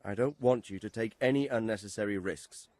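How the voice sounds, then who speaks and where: A man's voice: on the low side, a little fast, darkish tone, no room sound, lightly acted. Man playing a firm, cautious medieval nobleman, dry sound. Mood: firm, concerned. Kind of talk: video game cutscene acting.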